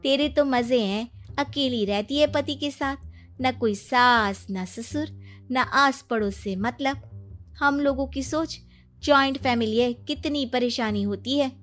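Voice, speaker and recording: A woman voicing a cheeky young married friend in a cartoon family story, teasing and animated. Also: high-pitched, measured pace, faint music behind. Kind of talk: Hindi cartoon story acting.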